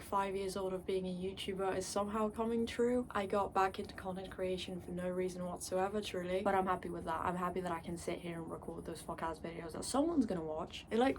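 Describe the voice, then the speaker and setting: A young woman whose first language is Polish, talking quietly late at night in her room in a casual vlog monologue. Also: medium pitch, a little hiss or hum. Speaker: YouTuber.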